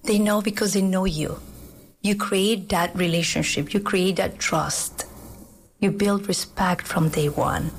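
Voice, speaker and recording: A middle-aged woman from Peru who teaches, speaking at a medium pitch. She answers in an earnest, reflective way, often restarting her sentences as she thinks. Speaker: teacher, guest. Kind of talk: interview answers.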